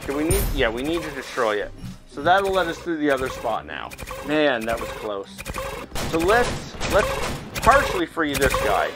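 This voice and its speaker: Animated man commentating over game music. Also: on the high side, moderate pace. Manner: excitable, reacting aloud while physically playing. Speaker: video game streamer. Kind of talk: casual gameplay commentary.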